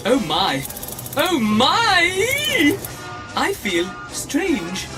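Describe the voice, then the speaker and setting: A prim and anxious golden droid, speaks in formal, fretful phrases for an audio drama. His delivery is slow and highly animated, with music underneath. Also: exaggerated voice, rough recording. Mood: panicked, fretful. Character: fussy, anxious, self-important golden protocol droid, male.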